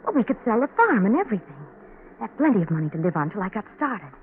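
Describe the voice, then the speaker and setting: A woman's voice: mid-range voice, sing-song, fast, close to an everyday voice. An actress playing a bitter, scheming, ambitious young woman in a 1940s radio drama, cutting and impatient. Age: young adult. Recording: scratchy, tinny 1940s radio recording.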